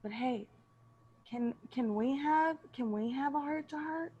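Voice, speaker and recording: A woman's voice: high-pitched, unhurried, mellow, husky, dull audio, pitched below the performer's own voice. A woman playing a jealous, combative Lucifer with a casual, aggrieved manner in improvised comedy. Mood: scheming. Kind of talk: improvised comedy radio play.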